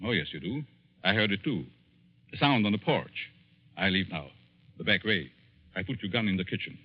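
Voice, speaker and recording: An actor playing a sinister, gloating professor and murderous schemer in 1940s radio suspense style, theatrical, taunting and given to chuckling. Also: male voice, low voice, animated, moderate pace. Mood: sly, menacing. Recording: dull lo-fi 1940s radio broadcast.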